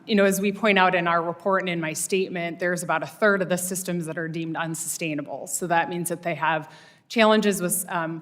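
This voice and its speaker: A woman testifying at a hearing about a report, measured and full of fillers. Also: on the low side, a bit bright. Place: hearing room.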